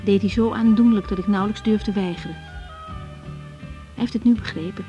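Mellow young woman doctor, played by an actress. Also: medium pitch, brisk, muffled recording, soft background music, close to an everyday voice. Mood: reflective, intimate. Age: young adult. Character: young woman doctor, frank, reflective, quietly burdened. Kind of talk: naturalistic Dutch radio drama acting with diary monologue.

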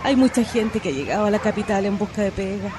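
A woman's voice: mid-range voice, expressive, fast, a crowd in the background, natural voice. An actress playing a weary, complaining poor migrant mother with a Chilean accent and colloquial speech in a radio drama. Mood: weary, lamenting. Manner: lamenting, colloquial. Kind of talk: Spanish-language radio drama acting. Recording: noisy track with outdoor ambience, barking dogs.

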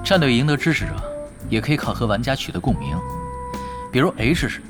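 An actor playing a laid-back, shrewd veteran pro gamer who gives wry, casual advice in a radio drama. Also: male voice, mid-range voice, very animated, brisk, natural voice. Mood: wry, instructive. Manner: casual, wry, advising juniors. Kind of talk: Chinese radio drama dialogue. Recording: music behind the voice.

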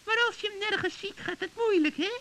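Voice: fairly quick, sharp tone, no room sound, exaggerated voice. A performer voicing a sly, cackling old witch who teaches magic in a children's radio play, theatrical and instructive. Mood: coy, feigned innocence. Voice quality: creaky, shrill cackle.

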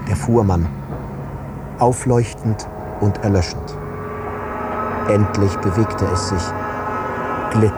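Loud music runs behind a man with a fairly low voice. He narrates an audio drama's literary text in a measured way, setting each short phrase apart. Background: prominent music.

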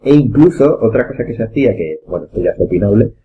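Low-voiced Spanish man, hesitant talk. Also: animated, fast. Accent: Spanish from Spain. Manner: hesitant, many restarts and trailing phrases. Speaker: podcast host. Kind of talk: casual chat. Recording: dull lo-fi sound with faint hum.

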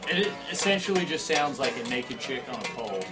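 A man's voice: medium pitch, lively intonation, fairly quick. A man in a band trading crude one-liners in a backstage interview. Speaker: band member. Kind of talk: casual joking chat. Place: venue before a show. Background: music playing.

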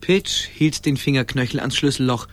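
A man's voice: medium pitch, normal speed, fairly bright tone, a little hiss or hum. A man narrating a radio play as a scripted storyteller.

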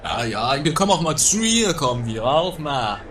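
A man's voice: a little high, moderate pace, slight background noise. A man playing a hesitant, pompous, grumbling bridegroom in an amateur comedy radio play. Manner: hesitant, stiffly formal, animated. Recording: homemade radio play with music behind.

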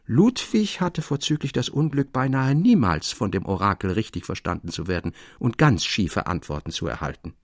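A man reading literary prose as a measured, articulate and expressive storyteller. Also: fairly low pitch, no echo, studio quality. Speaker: audiobook narrator. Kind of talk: literary scripted narration. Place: dry recording studio.

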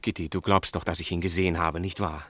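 Radio drama role: insistent barman under suspicion, lowish forceful voice, rapid delivery. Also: flat delivery, dry recording. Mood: troubled. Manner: emphatic, irritable. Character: defensive, tense male bar owner, insistent witness. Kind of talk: German radio play acting.

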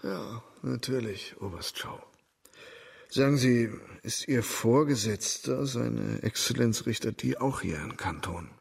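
A man playing a shabby but haughty, secretive stranger in a radio drama, with a gravelly, husky voice and imperious manner. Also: mid-range voice, unhurried, distant mic. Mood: probing. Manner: imperious, furtive.

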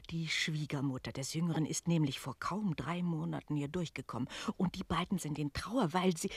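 Chatty innkeeper, dry studio sound. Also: female voice, low-pitched, a little mellow, dry recording. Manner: chatty, gossipy, emphatic. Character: talkative, tender-hearted female innkeeper who dotes on animals. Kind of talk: German radio play acting.

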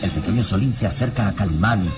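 A man's voice: fairly low pitch, fast. A man narrating a radio serial in a solemn, formal, dramatic literary style. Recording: radio drama with music behind.

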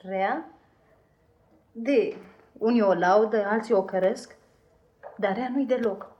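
A woman's voice: mid-range voice, lo-fi sound, close to an everyday voice. A woman playing a blunt but kindly housemaid in a 1950s radio drama, brusque and chatty.

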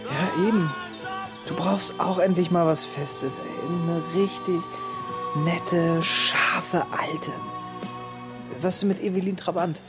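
Slangy teenage mate, blunt, higher-pitched voice, radio play, music behind. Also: male voice, fairly even intonation, slow. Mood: urging. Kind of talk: slangy radio drama dialogue.

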